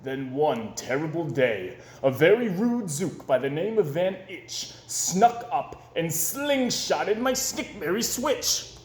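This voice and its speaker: A man reading a rhyming children's book aloud with an expressive, sing-song delivery, in an echoey room. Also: fairly high pitch, takes it slowly. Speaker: storyteller.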